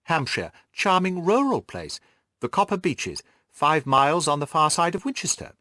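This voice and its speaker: Guy doing a big jolly old-fashioned gentleman employer, gushing and exclaiming, voice jumps way up and around, audiobook style. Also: on the high side, very animated, normal speed, dry recording, studio quality, pitched above the performer's own voice. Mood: jovial. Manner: exclamatory, effusively genial, repeats words. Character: stout, jovial, effusive middle-aged English gentleman employer. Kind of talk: audiobook character voice.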